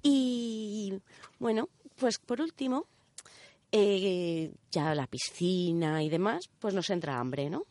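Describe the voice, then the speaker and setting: A woman with an accent from Spain chats in a dry studio sound at an average pitch. She explains in a casual, step-by-step way, tossing in little check-in questions as a radio contributor would. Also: animated, slow pace, mellow, dry recording. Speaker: radio show contributor presenting a section.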